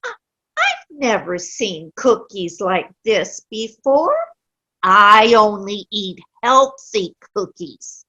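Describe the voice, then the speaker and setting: A woman's voice: medium pitch, big pitch swings, pushed and bright, breathy edge, highs cut off, heavily acted. A woman playing a querulous, sour old woman who complains about her pains in a comic play reading. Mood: defensive, fussy. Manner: querulous, slow, dramatic complaining. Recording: video call play reading.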